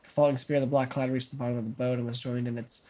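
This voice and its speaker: A man's voice: mid-range voice, little pitch movement, rapid-fire, mellow. A young man with a mid-range voice reads game text aloud in a loose, offhand way. He skims through the lines and slips in jokes as he goes. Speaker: co-host of a let's play channel. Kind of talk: casual chat and reading game text.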